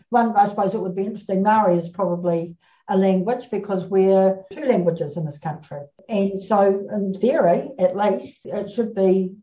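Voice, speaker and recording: A woman with a New Zealand accent, explaining card-game etiquette calmly and at length on a community radio show. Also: medium pitch. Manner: measured, explanatory. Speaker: bridge expert guest. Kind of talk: casual radio chat. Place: radio studio. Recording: roomy, slightly dull studio sound.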